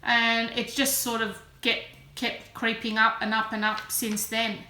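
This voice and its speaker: A woman's voice: on the high side, flat delivery, a little slow, sharp tone. A woman in her early forties talking casually and candidly in a chatty, self-deprecating personal vlog. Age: early 40s. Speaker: vlogger. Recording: roomy echo, faint background noise.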